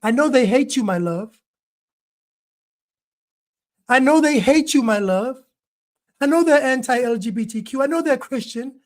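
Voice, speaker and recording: A man's voice: extremely high pitch, animated, moderate pace. A man voicing an overwrought, old-fashioned lover in a mocking Shakespearean parody. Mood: swooning. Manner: mock-Shakespearean, pleading, overwrought. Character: swooning melodramatic old-fashioned lover, adult.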